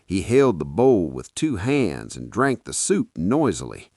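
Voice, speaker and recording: A man's voice: deep voice, wildly swooping pitch, unhurried, a little mellow, slightly rough, no echo, studio quality. A man with a Nigerian accent narrating a folktale dramatically in the first person, as a podcast storyteller.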